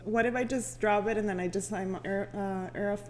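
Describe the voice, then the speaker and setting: Female radio personality as podcast guest, mid pitch, casual conversation, light ambient noise. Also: steady tone, fairly quick, a little mellow. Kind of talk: casual chat. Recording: noisy room ambience.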